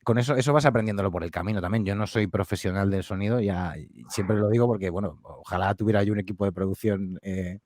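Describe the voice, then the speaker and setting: A man's voice: on the low side, fast talker, hardly any pauses, a little mellow, no echo, clean studio sound. A man who makes audio fiction, answering interview questions in a friendly, hesitant way with fillers and restarts. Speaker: podcast creator and guest. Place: home studio.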